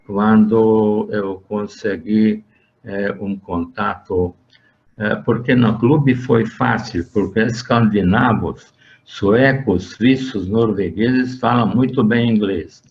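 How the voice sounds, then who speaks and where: An older man from Hungary with a Hungarian accent, giving an interview and recounting his working life, speaking haltingly with many fillers and restarts. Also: lowish voice, steady tone, darkish tone, slight background noise. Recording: dull lo-fi sound.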